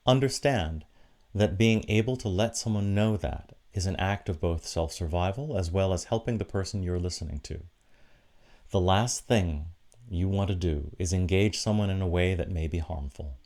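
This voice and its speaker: A man preaching a reflective sermon in a deliberate, encouraging manner, with short emphatic phrases. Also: sits low, darkish tone. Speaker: preacher giving an online church sermon.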